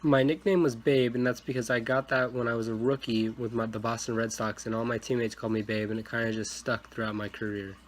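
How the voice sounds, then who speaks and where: A man's voice: mid-range voice, little pitch movement, brisk, few pauses, natural voice. A man playing a famous 1920s baseball slugger, giving rehearsed interview answers. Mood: matter-of-fact. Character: famous 1920s male baseball home-run star. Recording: noisy room recording.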